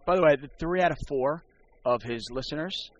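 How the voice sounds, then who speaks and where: A man's voice: fairly high pitch, brisk, lo-fi sound. A man who works as a poker and sports broadcaster, chatting casually on a podcast and rambling with many restarts.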